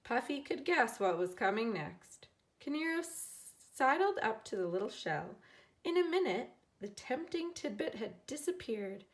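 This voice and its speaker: A woman teacher reading a children's storybook aloud in an expressive way, acting out the characters. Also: a little high, measured pace. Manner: expressive, dramatizing characters' lines.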